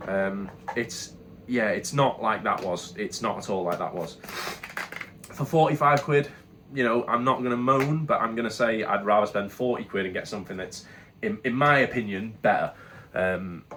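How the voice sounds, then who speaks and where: Congested British male host with a lower voice, talking casually and candidly through a product review. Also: fairly bright tone. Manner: chatty, candid, rambling with restarts. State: congested from a cold.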